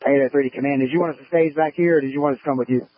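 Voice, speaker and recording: A man's voice: on the high side, fairly even intonation, racing, faint music behind. A man, a firefighter, making brief reports in emergency traffic on a two-way radio. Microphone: handheld two-way radio. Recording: muffled two-way radio, noise and clicks.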